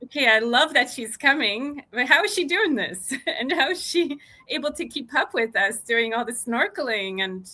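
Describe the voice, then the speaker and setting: A woman's voice: sits high, lively intonation, moderate pace, pushed and bright. A woman hosting an interview in a conversational, supportive and encouraging way. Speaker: podcast interviewer.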